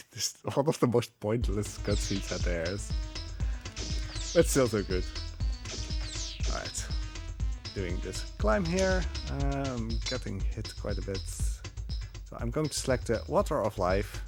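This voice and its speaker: A low-pitched man commentates his speedrun live. He sounds casual and easygoing, with game music clearly playing behind him. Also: very animated, measured pace, pauses often. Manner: easygoing, conversational.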